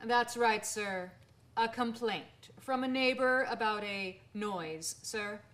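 A woman as a slow, deliberate police inspector. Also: on the high side, fairly bright tone. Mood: dry suspicion. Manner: clipped, deliberate, formal questioning. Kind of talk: radio drama acting.

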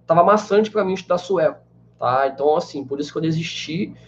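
A young man from Brazil with a fairly high voice answers viewer questions in a loose, rambling way, full of fillers. He talks to a webcam in a small room, and the sound comes through a little dull and lo-fi. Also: fairly quick. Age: 20s. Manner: chatty, many fillers like "né" and "cara". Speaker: language teacher answering live chat. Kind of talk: casual live-stream Q&A. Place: small room indoors with a banner behind.

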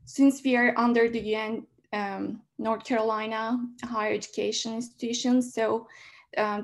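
A woman from Turkey, speaking English as a second language, presents to a meeting over a laptop mic. Her voice sits somewhat high with a noticeable gravelly fry. She talks haltingly, often stopping on fillers. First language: Turkish. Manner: halting non-native English with many fillers. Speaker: graduate student senator. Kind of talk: presenting slides in an online meeting. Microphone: laptop mic on a video call.

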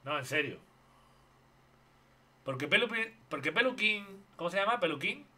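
A man with a Spanish accent from Spain and a very bright, forceful voice, cutting in with blunt, mocking remarks. He sits at his streaming desk, talking over a video he is reacting to. His tone stays offhand and dismissive. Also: sits high, expressive, normal speed. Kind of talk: live stream reaction commentary. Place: home streaming room.

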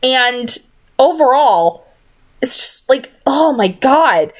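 A woman's voice: high-pitched, expressive, slow pace. A woman in her twenties reading a novel aloud and commenting casually in a small room at home. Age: 20s. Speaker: amateur book narrator and vlogger. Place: small bedroom, indoors.

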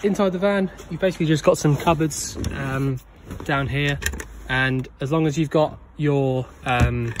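A man talking through a camper van handover in a relaxed way with many ums, filming on a handheld phone. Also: fairly high pitch, unhurried, noisy background. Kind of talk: casual walkthrough, filmed on phone. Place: in and around a parked van, workshop. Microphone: handheld phone, one-handed filming.

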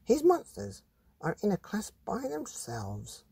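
A breezy, unbothered storybook art teacher, played by a male reader, reassuring in manner. The voice swings widely in pitch and has a creaky, husky edge. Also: huge pitch swings, slow pace, mellow, dry recording, heavily acted. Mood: breezy, unworried.

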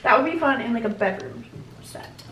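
A woman's voice: a little high, lively intonation, moderate pace, sharp tone, slight background noise. Chatty, swearing woman in her twenties. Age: 20s. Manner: casual, swears often, chatty. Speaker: vlogger. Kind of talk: casual vlog chat. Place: apartment bedroom and kitchen. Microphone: handheld vlog camera.

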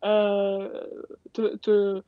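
A woman's voice: medium pitch, completely flat delivery, extremely slow, no echo. A woman in her thirties from Belgium, a racing driver chatting candidly and informally as a guest on a live video call. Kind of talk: casual live chat. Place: small room at home. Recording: muffled video-call audio.

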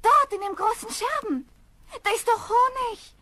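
A woman's voice: very high voice, a little slow, sharp tone, heavily acted. A woman playing a lazy, greedy, simple-minded peasant wife in a children's fairy-tale radio play, cooing and savoring her words. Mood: contented, admiring.

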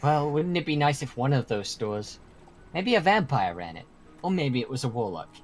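A man as an earnest, mid-pitched mortal peacemaker. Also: very animated, moderate pace. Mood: frustrated, grave. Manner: earnest, persuasive. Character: earnest, persistent male mortal mediator urging peace. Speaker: roleplay actor. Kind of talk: improvised Minecraft supernatural roleplay. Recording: music behind the voice.

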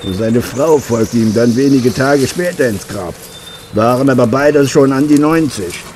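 An actor playing a matter-of-fact boss of a logging crew in an audio drama. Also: male voice, medium pitch, animated, a little slow, a little mellow, a little hiss or hum, natural voice. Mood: businesslike.

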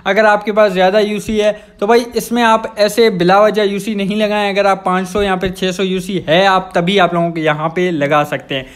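Young male gaming host, Pakistani accent, high voice, casual explanatory voice-over. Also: level tone, normal speed, hardly any pauses.

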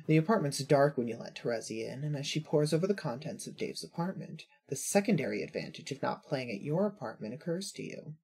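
A narrator reading second-person fan fiction in a husky voice. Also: medium pitch, expressive, fairly quick, hardly any pauses, darkish tone.

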